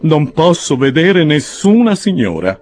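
A husky-voiced man reading an audiobook and voicing a flustered, exasperated elderly gentleman. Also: fairly high pitch, big pitch swings, unhurried, voice pushed up. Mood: fussy. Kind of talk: audiobook character voice.

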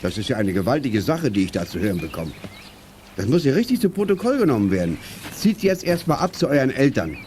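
An actor playing an authoritative, formal police officer in a children's radio play, brisk and firm. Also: male voice, mid-range voice, big pitch swings, darkish tone, a little hiss or hum, lightly acted. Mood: official.

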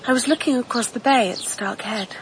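A woman's voice: on the high side, brisk, music in the background, close to an everyday voice, rough recording. An actress as a fragile, withdrawn young woman. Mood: distant. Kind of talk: BBC radio drama acting.

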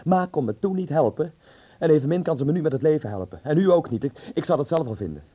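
Radio drama: stubborn young artist son, defiant, dramatic, mellow timbre, animated and hurried. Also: male voice, a little high, breathy edge. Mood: agitated, defiant. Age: young adult.

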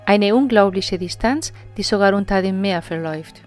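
Mystery documentary narrator, read by a woman: mid-range, earnest, awed, scripted, over a quiet music bed. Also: mid-range voice, normal speed, close to an everyday voice.

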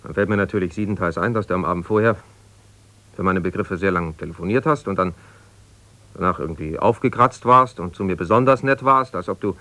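An actor playing an aggrieved, self-justifying middle-aged husband in a naturalistic radio drama, halting and reproachful as he argues. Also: low voice, sharp tone, dry recording. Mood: reproachful, wounded. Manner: halting, argumentative, reproachful, breaking off mid-sentence. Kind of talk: naturalistic 1970s German radio drama, one-sided conversation.

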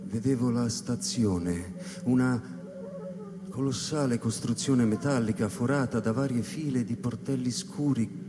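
A male actor playing a solitary astronaut who recounts his space voyage in a measured, solemn dramatic narration. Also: mid-range voice, natural voice. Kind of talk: literary sci-fi dramatic narration. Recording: long hall reverb over live electronic music. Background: electronic music.

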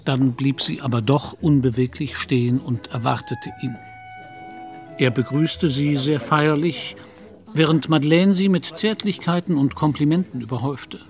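A man narrating a period novel in a radio play, as a measured literary storyteller. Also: medium pitch, a little mellow, soft background music, close to an everyday voice.

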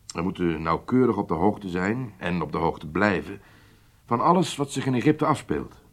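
An actor playing a deliberate, authoritative secret service chief in a radio drama. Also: male voice, low-pitched, lively intonation. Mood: grave, confiding.

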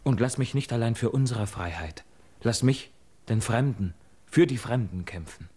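Casting: proud young warrior prince, mid-pitched, heroic and earnest, classical verse radio play. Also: male voice, expressive, moderate pace, warm tone, breathy edge. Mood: proud, resolute.

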